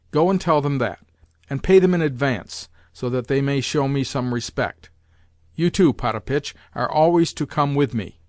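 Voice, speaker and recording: Male reader doing a domineering old grandmother, high-pitched and sharp-tongued, barking orders in a dry, close recording. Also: no room sound, natural voice. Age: older. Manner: commanding and blunt, hurling insults. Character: imperious, scolding old Russian grandmother. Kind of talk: audiobook character voice.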